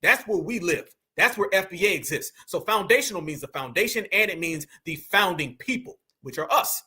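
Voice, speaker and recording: Emphatic high-voiced man commenting on clips. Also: animated, normal speed, bright, forceful tone, slightly rough. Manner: emphatic, addresses viewers as family. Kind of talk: casual reaction commentary.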